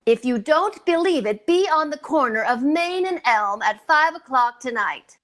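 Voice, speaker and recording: Flustered radio sitcom housewife, bright lively voice, earnest and insistent. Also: female voice, high-pitched, normal speed. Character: flustered, scheming married housewife, earnest and excitable. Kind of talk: old-time radio comedy acting.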